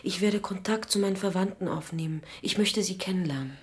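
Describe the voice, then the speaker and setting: An actress in a German radio play voicing an earnest young daughter, gently insistent as she leaves home. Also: fairly low pitch, brisk, lightly acted.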